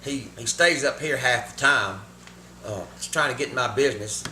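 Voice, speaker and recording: A man's voice: mid-range voice, moderate pace, pushed and bright, reverberant, a little hiss or hum. A man, a pianist and singer, talking in a folksy, joking way on a reality show. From Georgia, US.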